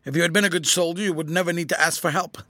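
A man's voice: fairly high pitch, steady tone, racing, pushed and bright, dry recording, studio quality, voice pushed up. A man voicing a stern, hot-tempered old general with a formal, imperious manner in a storybook reading. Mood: stern, irritated. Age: older.

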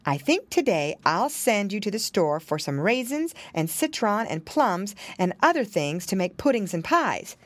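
A woman's voice: mid-range voice, big pitch swings, moderate pace, hardly any pauses, no echo, pitched above the performer's own voice. A woman voicing a busy, kindly mother pig who explains things patiently in a motherly way, for a storybook. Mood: instructive.

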